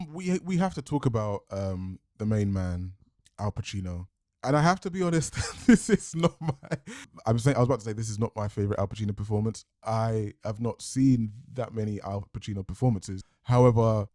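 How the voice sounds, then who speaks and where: A man giving a reflective film review, thinking aloud, restarting sentences and swinging between lively and quiet. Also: fairly low pitch, dry recording, studio quality. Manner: thoughtful, analytical, restarts often.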